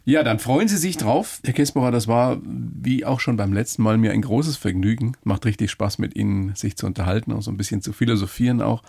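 A man around sixty hosting a radio interview, friendly and quick to laugh, asking follow-up questions. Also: lowish voice, creaky, a little mellow, slightly rough. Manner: friendly, laughs easily, asks follow-ups. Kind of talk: conversational interview.

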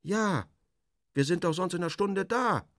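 A man's voice: high-pitched, animated, measured pace, fairly bright tone, dry recording, studio quality, pitched above the performer's own voice. A man voicing a young female centaur, childlike, sulky and adoring, in a gushing, naive manner for a literary radio reading. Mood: pouting.

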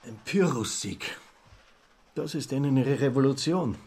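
An actor playing a garrulous Viennese newspaper editor and amateur philosopher in a radio drama, lecturing pompously in an Austrian accent with a husky voice. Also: male voice, medium pitch, lively intonation, measured pace, a little mellow. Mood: scornful, lecturing. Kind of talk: literary radio drama dialogue.

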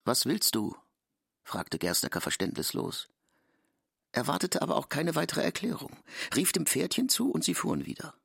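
A man's voice: fairly high pitch, huge pitch swings, normal speed, vocal fry, a bit bright, slightly rough, dry recording, studio quality. A man narrating literary prose in an audio drama.

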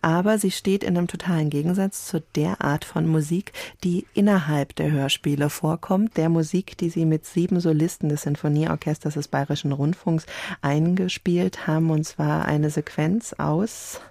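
Mellow, creaky-voiced woman interviewer. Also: deep voice, fairly even intonation, moderate pace, no echo. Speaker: interviewer, radio host. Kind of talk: conversational radio interview.

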